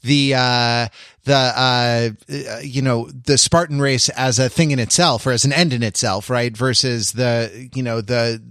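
A man's voice: mid-range voice, steady tone, fairly bright tone, dry recording. Male co-host, mid-range voice, casual intellectual talk that circles and hesitates, recorded dry. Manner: halting, circling, intellectual musing. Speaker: podcast co-host. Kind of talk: casual discussion.

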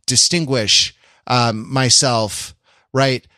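Male podcast co-host, mid-pitched, casual and halting, works ideas out aloud, very dry acoustic. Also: steady tone, pushed and bright, no echo. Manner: halting, self-correcting, analytical. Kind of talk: casual discussion.

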